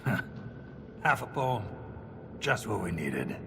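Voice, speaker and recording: An actor playing a gruff, blunt, sardonic dwarven warrior in a video game cutscene. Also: male voice, lowish voice, very animated, very slow, background noise, full character voice. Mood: dry, sardonic. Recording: loud game music behind. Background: game music.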